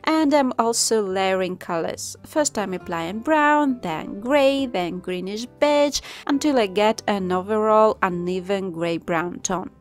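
A woman calmly narrating a step-by-step craft tutorial as the channel host, in voice-over. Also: medium pitch, measured pace, few pauses. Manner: calm, instructive. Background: soft background music.

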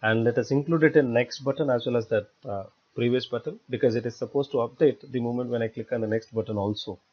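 A man narrating a step-by-step software tutorial in a halting, explanatory way. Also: mid-range voice. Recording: dull lo-fi voice-over recording.

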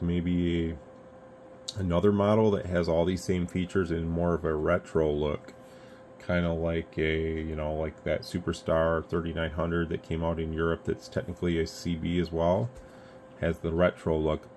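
An American man giving casual, unscripted product commentary as a video host, rambling along conversationally. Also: low-pitched. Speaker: radio reviewer, video host. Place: indoors at an electronics radio bench. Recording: steady background noise, room ambience.

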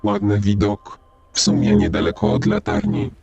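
A man with a Polish accent narrates a video game in short remarks. His voice is lowish and heavily creaky, with a husky edge. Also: level tone, moderate pace, a little mellow, no echo, lo-fi sound. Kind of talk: gameplay commentary in short remarks.

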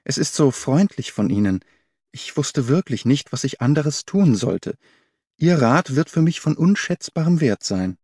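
A man voicing an earnest young governess in an audiobook, telling her account in a measured, articulate way. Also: medium pitch, sing-song, darkish tone, breathy edge, no echo, clean studio sound, heavily acted. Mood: earnest, grateful. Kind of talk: scripted audiobook character reading.

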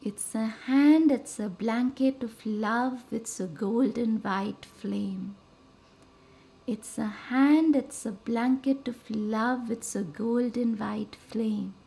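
Very slow woman guiding meditation. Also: on the high side, gravelly, dark tone. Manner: soothing, measured delivery in short pausing phrases. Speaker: meditation guide and host. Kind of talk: guided meditation with slow, reverent visualisation. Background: music.

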